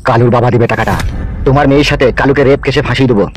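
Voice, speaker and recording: A man's voice: mid-range voice, steady tone, very fast, natural voice. A man playing a coaxing, scheming village politician villain in a comedy cartoon. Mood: persuasive. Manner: coaxing, insistent.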